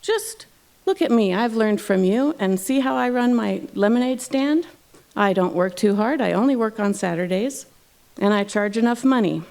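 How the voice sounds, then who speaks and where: A woman's voice: on the high side, expressive, moderate pace, vocal fry, a little mellow, pitched above the performer's own voice. A woman voicing a precocious eight-year-old boy bear, matter-of-fact and a little pedantic, in a told fairy tale. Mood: earnest, confident.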